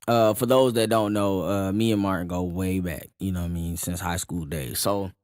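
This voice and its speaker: Low-voiced relaxed podcast man. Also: dry recording, studio quality. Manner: relaxed, halting, agreeable listener. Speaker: podcast co-host. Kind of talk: casual podcast chat.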